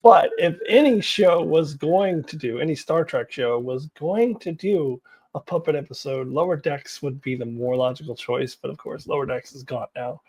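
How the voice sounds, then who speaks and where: A woman's voice: deep voice, normal speed, a little mellow, slightly rough. A woman giving casual, opinionated commentary as a vlog host, with a wry, blunt, exasperated and giggly manner.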